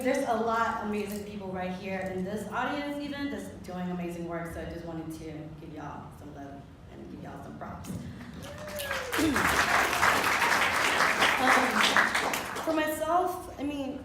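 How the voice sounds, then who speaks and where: A woman's voice: medium pitch, expressive, a little slow, pauses often, over a noisy crowd, very noisy. A woman from the Philippines, a muralist on a panel, speaking earnestly and reflectively with many fillers. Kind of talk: panel discussion. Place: theater with audience. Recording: echoey theater from far off.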